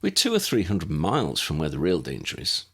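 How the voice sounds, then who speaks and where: A man voicing a calm, fearless adult male wizard investigator in an audiobook, casual and reassuring. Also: low-pitched, fairly quick, gravelly, slightly rough, full character voice.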